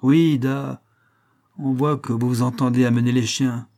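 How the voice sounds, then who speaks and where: A man's voice: medium pitch, fairly even intonation, normal speed, dark tone, close to an everyday voice. A man voicing a scornful, hot-tempered young male sled driver in an adventure audiobook. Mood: ironic. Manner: curt, harsh, ironic.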